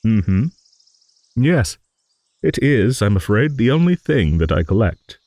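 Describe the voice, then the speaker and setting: A man playing a gentle, courteous old dragon who rambles hesitantly in an improvised fantasy audio roleplay. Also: on the low side, sing-song, dry recording, clean studio sound, heavily acted. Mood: bemused, modest. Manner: rambling, hesitant, genteel, with musing hums and pauses.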